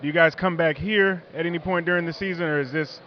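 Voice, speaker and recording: A man's voice: fairly high pitch, fairly even intonation, fast talker, dull audio. A man interviewing a rugby player outdoors, chatty and joking, with rambling questions.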